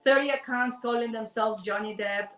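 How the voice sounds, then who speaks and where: A woman from Ecuador speaks English with a Spanish accent, chatting playfully and talking straight to her viewers as she co-hosts a live stream. Her voice sits a little high. The sound is roomy and comes from some distance away from the mic. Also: steady tone, normal speed, fairly bright tone. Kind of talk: casual live-stream chat.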